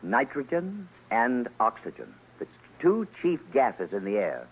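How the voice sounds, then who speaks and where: A man's voice: mid-range voice, wildly swooping pitch, unhurried, slightly rough, low-quality recording. A man narrating a scripted radio documentary as commentator, formal, theatrical and emphatic. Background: music.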